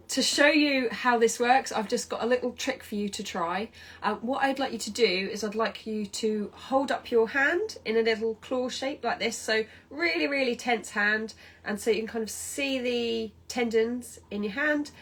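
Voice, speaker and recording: A woman with a British accent, stuffy and nasal from a cold, giving a chatty hypnobirthing lesson with plenty of ums. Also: medium pitch. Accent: British English. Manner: chatty, encouraging, with many ums. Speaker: hypnobirthing educator. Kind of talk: informal online teaching session.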